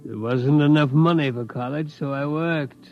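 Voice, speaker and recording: An actor playing an obsessed, determined old tycoon in a 1950s radio drama, reminiscing and insistent. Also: male voice, a little high, moderate pace, over loud music, close to an everyday voice. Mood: wistful, defiant. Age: older. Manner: reminiscing, insistent, tags sentences with "hmm?". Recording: dull 1950s radio recording.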